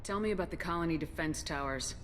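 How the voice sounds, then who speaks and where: Actress as resolute female officer, low-pitched, authoritative, direct, calm, video game dialogue, background noise. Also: fast, close to an everyday voice. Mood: firm questioning. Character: resolute female military commander, calm and firm.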